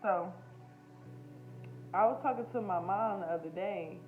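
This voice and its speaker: A woman's voice: mid-range voice, measured pace. Woman, American accent, candid slangy vlog talk, emotional and profane, phone-like audio, music behind. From United States. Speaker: YouTube spiritual healer and host. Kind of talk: casual, unscripted personal vlog.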